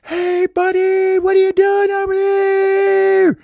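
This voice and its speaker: A man voicing an excited little baby bird who shouts in a high voice. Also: takes it slowly, gravelly, no room sound, studio quality, full character voice.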